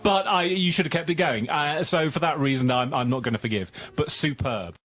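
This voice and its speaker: A man's voice: fairly high pitch, fairly quick, fairly bright tone. A man on a radio panel, enthusiastic and tripping over his words as he gives a verdict. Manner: enthusiastic, stumbling restarts. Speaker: radio co-host giving verdicts.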